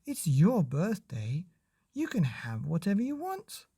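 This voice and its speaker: Casting: soothing storybook mother acted by a male reader, practical, kindly, mellow, lively pitch. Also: a little slow, creaky, husky, no echo, clean studio sound, full character voice.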